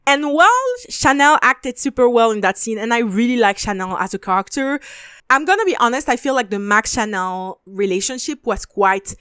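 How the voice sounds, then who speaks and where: Animated young woman reviewing a show. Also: fairly high pitch, moderate pace, clear, resonant tone, dry recording, studio quality. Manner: animated, frequent "like" fillers, emphatic repeats. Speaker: video essay host reviewing a show. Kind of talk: casual opinionated commentary.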